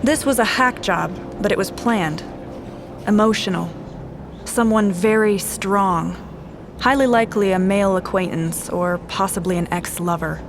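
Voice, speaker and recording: An actress playing a sharp, composed special agent in an audio drama, speaking in terse, clinical fragments like a profiler examining a scene. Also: female voice, mid-range voice, measured pace, noisy background, lightly acted. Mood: clinical, focused. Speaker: investigator. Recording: music behind the dialogue.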